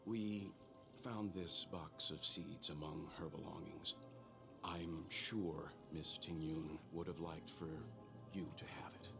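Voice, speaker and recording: An actor playing a calm, solemn man in a video game, speaking in a measured, grave way. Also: deep voice, creaky, music in the background, heavily acted.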